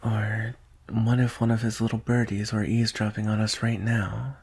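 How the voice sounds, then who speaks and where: Devoted dragon guard, played by a man for ASMR roleplay: very husky and breathy, gravelly edge, hushed, solemn and tender. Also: on the low side, little pitch movement. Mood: wary, hushed. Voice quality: breathy, husky, often dropping to a whisper. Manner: hushed, deliberate, with long pauses. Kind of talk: ASMR roleplay, intimate slow delivery.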